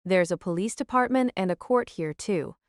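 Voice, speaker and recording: A woman's voice: medium pitch, lively intonation, moderate pace, no room sound, lightly acted. A tomboyish teenage girl acted by a woman with a mid-range voice. She is frank, confident and matter-of-fact, in anime audio drama style with a dry, close sound. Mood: dry, teasing.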